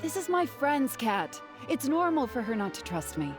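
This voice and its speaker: High-voiced, authoritative, guarded lady. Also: very animated, normal speed, over music. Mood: firm, insistent. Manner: authoritative, firm, formal. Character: insistent, guarded woman hiding the truth. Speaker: video game voice actor. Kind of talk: English game dub dialogue.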